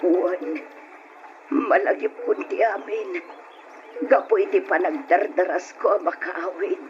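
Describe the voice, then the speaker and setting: An actress playing an anxious, strong-willed older mother-in-law in a dramatic, emotional radio drama style. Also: on the low side. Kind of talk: old-time radio drama acting. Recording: dull, noisy old radio recording.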